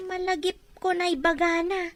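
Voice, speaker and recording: A woman's voice: extremely high pitch, steady tone, normal speed, dry recording. A woman voicing a talkative, emotional young village woman in a scripted audio drama. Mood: worried.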